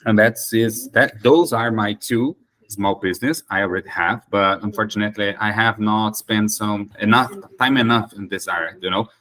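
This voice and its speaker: Man, Brazilian accent, fairly low pitch, halting second-language English, casual chat. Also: steady tone, fairly bright tone. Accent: Brazilian Portuguese. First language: Portuguese. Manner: hesitant, frequent restarts while speaking a second language. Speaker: host of an English practice meeting. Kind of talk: casual conversation practice.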